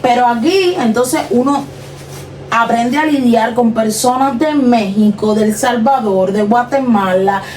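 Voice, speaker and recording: A young woman with a Cuban accent talks earnestly to her viewers in a personal confessional vlog, often slowing and trailing off as she reflects. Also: a little high, a little mellow, a little hiss or hum. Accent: Cuban Spanish. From Cuba. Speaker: vlogger. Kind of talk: personal confessional talk to camera.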